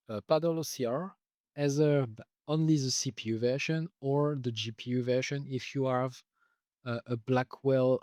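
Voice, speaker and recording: A man with a delivery talks through software he built. He pauses often with filler sounds and restarts his sentences. His middle-pitched voice, a little worn, sits close and dry with no room sound. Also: no echo. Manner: hesitant, many filler uhs, restarts. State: losing his voice. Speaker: software developer explaining his tool. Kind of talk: casual screen-recorded walkthrough.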